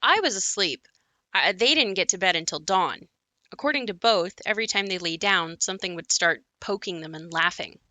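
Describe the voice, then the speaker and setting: A woman's voice: on the low side, lively intonation, moderate pace, bright, forceful tone, dry recording. A woman playing a reflective narrator who recalls her childhood in a scripted audio play monologue. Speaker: narrator of her own memories.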